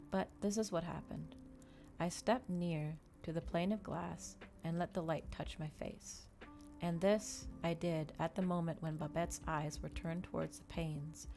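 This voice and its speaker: A woman voicing a solemn, eloquent male vampire in a measured, formal storybook reading. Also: deep voice, vocal fry, darkish tone. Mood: wistful.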